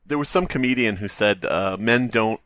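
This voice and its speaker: A man's voice: fairly low pitch, moderate pace, a bit bright, dry recording. A man co-hosting a podcast in casual, joking banter. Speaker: podcast co-host.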